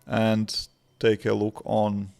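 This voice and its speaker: A man in his thirties with a Latvian accent, a developer chatting casually on a live stream in simple, halting English with many restarts. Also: fairly low pitch, monotone, creaky, a little mellow. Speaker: livestreaming developer answering chat.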